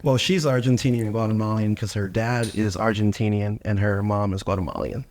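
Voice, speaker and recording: A man's voice: fairly low pitch, fairly even intonation, a little fast, a little mellow. Hesitant man, ministry leader. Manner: hesitant, many "uh" fillers, wry. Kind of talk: casual interview chat.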